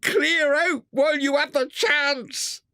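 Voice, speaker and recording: A reader voicing a cheery, excitable male mole in a storybook. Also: sits high, slow pace, no room sound, studio quality, pitched above the performer's own voice, heavily acted. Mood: gleeful boasting. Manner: exclamatory, eager storytelling.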